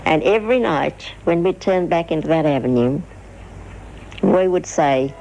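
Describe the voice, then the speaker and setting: An older woman reminiscing in an interview in a halting, reflective manner. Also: low-pitched, expressive, gravelly, warm tone. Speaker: interviewee, widow. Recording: noisy archival interview.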